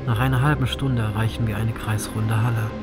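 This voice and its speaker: Man acting a somber reaper narrator over loud music. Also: on the low side, fairly even intonation, a little fast, natural voice. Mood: dread. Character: brooding male reaper narrating his own horror story. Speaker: first-person narrator. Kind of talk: scripted dramatic narration, German audio drama.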